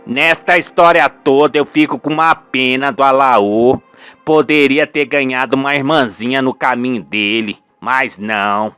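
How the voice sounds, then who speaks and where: Radio soap role: vengeful old rustic dad played by a male narrator, folksy, indignant, unhurried, light music bed. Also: mid-range voice, steady tone, fairly bright tone, clear, resonant tone, no echo, pitched below the performer's own voice, natural voice. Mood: scornful, determined. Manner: folksy, emphatic rustic speech. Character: older rural father, indignant, vengeful, colloquial.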